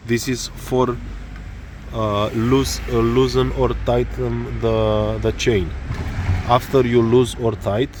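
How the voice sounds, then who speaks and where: Romanian guy explaining bike repair in english, really slow, lots of uhs, bit of motor noise behind. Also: mid-range voice, level tone. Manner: halting, many fillers, searching for words. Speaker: motorcycle tutorial maker. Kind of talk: hesitant spoken tutorial.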